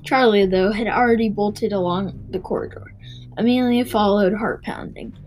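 A woman's voice: medium pitch, normal speed, a little mellow, poor audio. A woman reading a children's novel aloud, stumbling now and then and rereading phrases. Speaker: reader and host of a read-aloud show.

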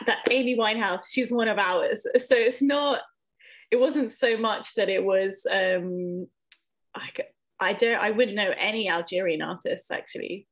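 A young woman from England, a podcast guest, talking casually with many ums and self-corrections as she thinks aloud. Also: on the low side. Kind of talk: casual interview conversation.

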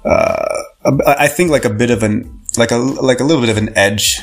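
A man's voice: mid-range voice, moderate pace, faint music behind. A young man from Canada, a podcast guest, talking casually with lots of fillers and restarts. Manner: rambling, many fillers and restarts. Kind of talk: casual interview talk.

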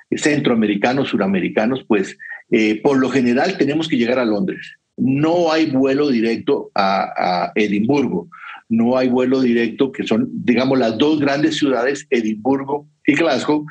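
A man's voice: mid-range voice, slightly rough, rough recording. A man with a Costa Rican accent chatting and telling travel stories, often adding little tag questions to check the listener follows. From Costa Rica. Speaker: guest sharing whisky travel advice. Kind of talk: conversational travel storytelling.

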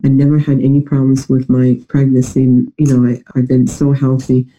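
A man's voice: medium pitch, completely flat delivery, moderate pace, warm tone, low-quality recording. A young man with a New York-like accent and a congested, nasal voice, hesitantly telling personal stories as an interview guest. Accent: New York-like American. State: congested from a recent illness.

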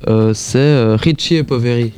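A man's voice: medium pitch, moderate pace, darkish tone, clear, resonant tone, faint music behind. A man co-hosting a casual radio chat, talking hesitantly with many fillers. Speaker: radio show co-host.

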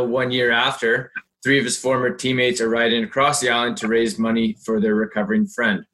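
A young man co-hosting a podcast, asking earnest, measured questions. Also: medium pitch, sharp tone, slight background noise, low-quality recording. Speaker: podcast co-host. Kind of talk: podcast interviewing.

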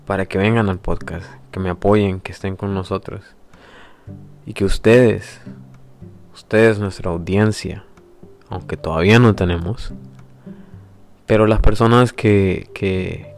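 A young man from El Salvador with a very deep voice talks reflectively, pausing and restarting as he introduces his podcast. Faint guitar music plays quietly behind him. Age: 20s. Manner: reflective, hesitant, with pauses and restarts. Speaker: podcast host. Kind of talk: personal introduction monologue.

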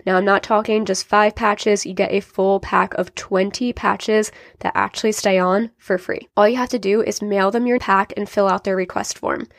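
A young woman hosts her own podcast, talking straight to her listeners in an upbeat, explanatory way. A sinus infection leaves her sounding a little nasal and congested. Her pitch sits in an ordinary middle range, and the recording is dry with no room echo. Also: steady tone, normal speed, few pauses. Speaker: podcast host and diabetes coach. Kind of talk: solo podcast talk.

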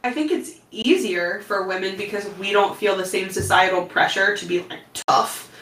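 Brief Animated woman in her thirties, echoey room. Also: mid-range voice, moderate pace. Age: 30s. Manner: animated, laughs often, says "like" a lot. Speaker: podcast guest. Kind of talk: casual chat. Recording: echoey room from a distant mic with faint noise.

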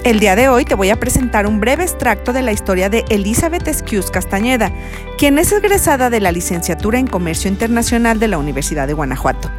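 A woman with a Mexican accent reading a formal radio introduction in a measured way. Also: on the high side, lively intonation. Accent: Mexican Spanish. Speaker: radio program presenter. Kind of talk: scripted presentation. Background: music bed.

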